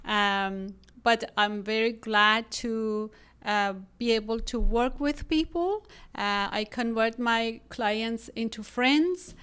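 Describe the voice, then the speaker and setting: Slow-paced Iranian-accented woman, clear and higher-pitched. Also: fairly bright tone. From Iran. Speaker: podcast guest, real estate agent. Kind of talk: conversational interview.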